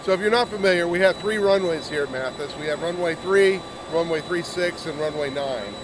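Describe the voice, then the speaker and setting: Male interviewer, casual and chatty explainer, a touch high in pitch, recorded against noticeable engine noise. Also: brisk. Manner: chatty, explains jargon to listeners. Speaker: interviewer and pilot. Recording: loud aircraft noise behind. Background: airplane and jet engine noise.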